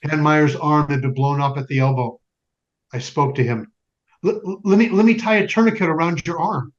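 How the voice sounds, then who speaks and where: Man playing a solemn male resistance fighter, higher-pitched. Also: normal speed, highs cut off, natural voice. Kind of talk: dramatic stage narration.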